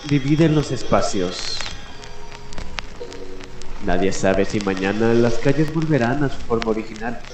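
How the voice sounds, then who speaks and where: A man's voice: mid-range voice, close to an everyday voice. Man playing solemn late-night radio newscaster, echoey. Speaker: radio host. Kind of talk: scripted mock radio news narration. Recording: echoey room with music. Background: music.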